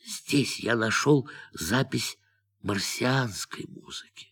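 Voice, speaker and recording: An actor playing a calm, defiant astronaut in a radio play, speaking in a measured, quietly intense way. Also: male voice, mid-range voice, breathy edge, exaggerated voice. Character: calm defiant astronaut who turned on his crew.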